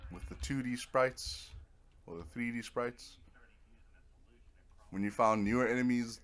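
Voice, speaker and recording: A man giving casual, rambling commentary over a video game, with a dry, self-deprecating sense of humor. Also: medium pitch. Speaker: video game let's player.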